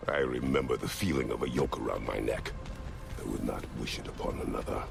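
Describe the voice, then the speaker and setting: Extremely deep, gravelly brooding warrior. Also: male voice, brisk, faint music behind, exaggerated voice. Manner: terse, grave, solemn. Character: stern, brooding, battle-worn male warrior of few words. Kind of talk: video game voice acting.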